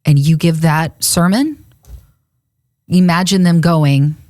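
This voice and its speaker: A woman's voice: low voice, slow pace, darkish tone, dry recording, clean studio sound. A woman co-hosting a faith podcast, chatting casually and laughing often as she retells a Bible story. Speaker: radio and podcast co-host. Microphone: studio microphone.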